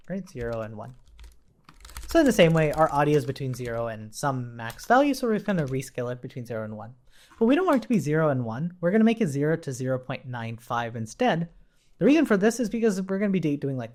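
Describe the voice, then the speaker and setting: Casual male coding instructor, lively pitch. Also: slight background noise. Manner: informal, thinks aloud while explaining. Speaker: programming tutorial instructor. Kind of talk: casual explanatory coding walkthrough.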